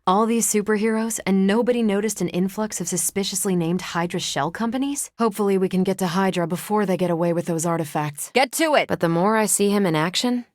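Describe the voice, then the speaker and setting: An actress voicing a confident, wry, tough but kind superhero soldier in a video game, with an American accent and a dry, casual delivery. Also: female voice, medium pitch, fast, few pauses, darkish tone, dry recording, close to an everyday voice. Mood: urgent, commanding. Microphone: close, dry studio microphone.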